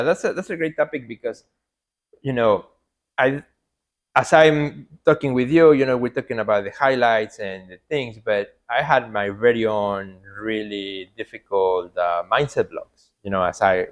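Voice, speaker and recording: A man's voice: mid-range voice, measured pace. A man from Mexico who speaks English with a Mexican Spanish accent, his first language being Spanish, talking earnestly in a casual podcast interview and often repeating words and adding "you know". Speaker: podcast guest, artist coach.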